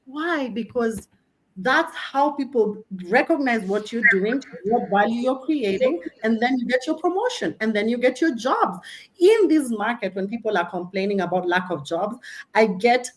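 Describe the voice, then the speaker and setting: Kenyan woman coach, punchy and animated, kinda high voice, loves to hype people up. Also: normal speed, poor audio. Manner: emphatic, animated, preaching self-promotion with humor. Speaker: career coach. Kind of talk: panel talk on careers.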